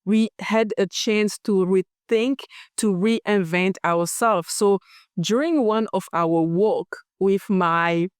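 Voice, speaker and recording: A woman's voice: medium pitch, slow, clean tone, no echo, studio quality. A woman from the Democratic Republic of the Congo, a company founder telling her story in a podcast interview. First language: Chiluba.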